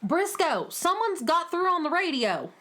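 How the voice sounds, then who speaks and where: A woman playing a brisk, sarcastic space pilot in a children's radio drama, blunt and plain-spoken. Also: very high voice, big pitch swings, a bit bright, heavily acted. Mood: urgent.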